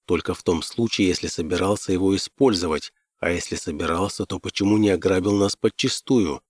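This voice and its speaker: A male audiobook narrator with a fairly low voice reads first-person fiction. The delivery is scripted storytelling, recorded dry with very little room sound. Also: brisk, no room sound.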